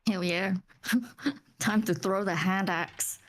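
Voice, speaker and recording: A woman's voice: mid-range voice, fairly even intonation, slow. A woman chatting casually and enthusiastically as a player in a tabletop game. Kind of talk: casual chat during a game.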